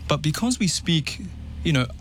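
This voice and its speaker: A man who grew up speaking Tongan, an opera tenor being interviewed, chatting in a relaxed way and laughing easily. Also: fairly high pitch, moderate pace, faint music behind. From New Zealand, Tongan family. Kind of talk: casual interview chat.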